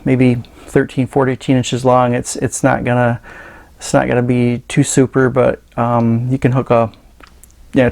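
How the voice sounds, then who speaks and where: Casual man with creaky voice demoing gadgets. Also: medium pitch, a little mellow. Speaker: radio gadget reviewer. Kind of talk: casual hands-on product demo.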